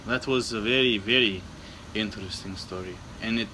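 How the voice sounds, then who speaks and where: A man playing a wistful, solemn Bulgarian recalling his childhood, deadpan and halting, in broken English. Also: lowish voice, noisy background, close to an everyday voice. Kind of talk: improvised comic in-character storytelling.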